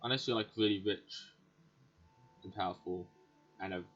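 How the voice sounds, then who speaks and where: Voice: sits low, moderate pace, a bit bright. A gaming commentator reacting casually over gameplay, slangy and dry in delivery. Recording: muffled mic over game music.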